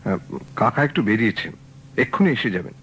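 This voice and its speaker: An actor playing a young nephew who wants to be an actor, talking in a casual, evasive way. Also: male voice, lowish voice, sing-song, fast talker, a bit bright. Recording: dull old radio recording, faint hiss.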